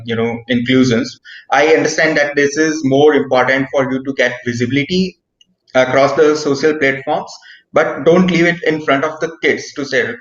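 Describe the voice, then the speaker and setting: A man's voice: medium pitch, a bit bright. A man giving feedback in an online meeting, hesitant, with many fillers and frequent "right?". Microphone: video call. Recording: roomy, dull video call audio.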